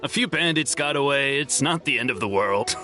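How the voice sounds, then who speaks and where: A man's voice: mid-range voice, lively intonation, moderate pace, sharp tone. Hot-headed man. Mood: carefree. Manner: laughing, carefree, then bursts of anger. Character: short-fused adult man, eerily cheerful. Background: game background music.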